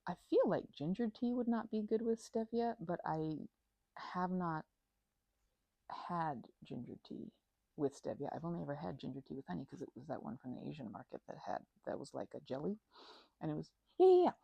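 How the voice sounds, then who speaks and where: Low, husky woman rambling playfully. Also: big pitch swings, moderate pace, dark tone, dry recording. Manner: rambling, playful, tangent-prone. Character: chatty, scatterbrained female best friend hosting tea. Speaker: ASMR roleplay performer. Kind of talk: ASMR roleplay, improvised casual chat.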